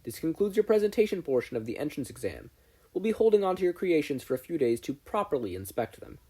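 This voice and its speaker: A performer voicing a brisk, dry adult male hero who works as a school examiner. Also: mid-range voice, big pitch swings, warm tone, breathy edge, pitched above the performer's own voice. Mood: brisk, official.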